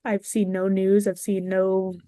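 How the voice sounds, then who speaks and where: A woman's voice: mid-range voice, monotone, a little slow, warm tone, dry recording. Woman chatting casually, dry close sound. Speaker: podcast panelist. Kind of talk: casual chat.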